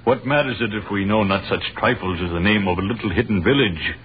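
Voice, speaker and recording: A man's voice: fairly low pitch, fast, lightly acted. An actor playing a devout travelling wise man in a 1930s radio play, with declamatory biblical phrasing. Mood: assured. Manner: declamatory, archaic biblical speech. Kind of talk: 1930s radio drama acting. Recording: tinny, hissy 1930s radio recording.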